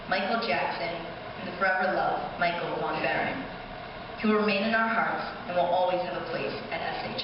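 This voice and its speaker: A young woman, a high school class officer, reading a scripted speech aloud at a school ceremony. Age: young adult. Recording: distant echoey hall, muffled, noisy.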